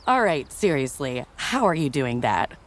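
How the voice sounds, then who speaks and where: A skeptical, cheeky woman voiced by an actress in a motion comic. Her voice sits fairly low and moves a lot, with a wry and casual tone. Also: sing-song, slow pace, full character voice. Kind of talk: scripted cartoon dialogue.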